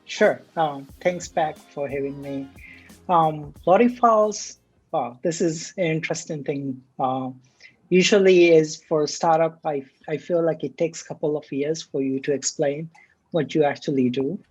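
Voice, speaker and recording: A man from the Maldives, a startup CTO, answering interview questions in a relaxed, hesitant way with many fillers. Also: fairly high pitch, normal speed, husky, muffled recording, soft background music.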